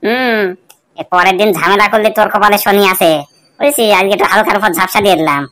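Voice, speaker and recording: A woman's voice: medium pitch, fast talker, a bit bright, natural voice. A woman voicing an abusive, foul-mouthed bald drunkard of a husband in a cartoon comedy. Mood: angry bullying. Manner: threatening, swearing, demanding. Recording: very noisy, clicking background.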